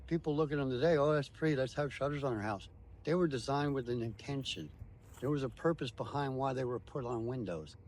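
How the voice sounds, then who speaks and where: A man's voice: mid-range voice, brisk, a little mellow, a little hiss or hum. A man speaking as a tour guide, explaining things step by step in short, emphatic declarative phrases.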